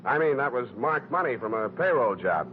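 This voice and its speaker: An actor playing a wry, cocky, hard-boiled American private detective in 1940s radio style, with a sardonic, clipped delivery. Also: male voice, medium pitch, very animated, normal speed, natural voice. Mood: wry, commanding. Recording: tinny, faintly noisy 1940s radio recording.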